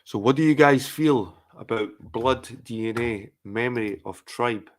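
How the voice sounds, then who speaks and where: A man's voice: mid-range voice, a little slow. A middle-aged man from England, hosting a live chat stream in a profane, rambling, repetitive rant. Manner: profane, rambling, repeats himself. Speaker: livestream host. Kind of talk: casual ranting livestream chat.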